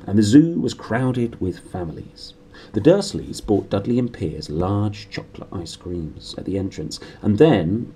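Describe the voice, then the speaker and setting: A man in his thirties with a British accent, reading a storybook aloud in an animated way. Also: lowish voice, slight background noise. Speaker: book reader. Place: small room indoors.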